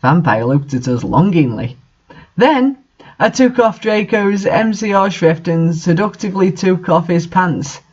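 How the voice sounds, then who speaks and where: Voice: medium pitch, animated, measured pace, darkish tone, breathy edge. A reader narrating fan fiction and commenting on it casually, with an incredulous, sarcastic, sweary manner. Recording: dull lo-fi home recording.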